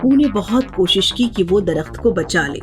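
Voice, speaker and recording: A woman's voice: medium pitch, animated, over music. A woman narrating a children's fairy tale from a script as the storyteller.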